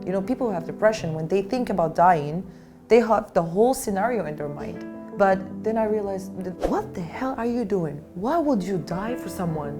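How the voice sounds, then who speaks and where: A woman's voice: medium pitch, animated, normal speed, over music. A woman from Morocco, a panel guest, telling her own story in an earnest, emotional way. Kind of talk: candid personal discussion.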